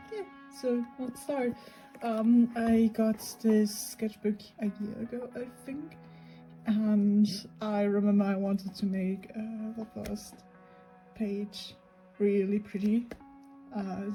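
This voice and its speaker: Hoarse, slow-talking young woman. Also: medium pitch, warm tone, low-quality recording. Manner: rambling, giggly, many ums. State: hoarse from a cold. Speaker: VTuber artist. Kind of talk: casual sketchbook tour chat.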